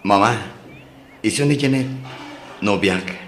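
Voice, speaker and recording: A man's voice: fairly low pitch, a little slow, fairly bright tone. A man with an Ilocano accent playing a placating, protective adult son in a radio drama, with room echo. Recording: echoey room, faint noise.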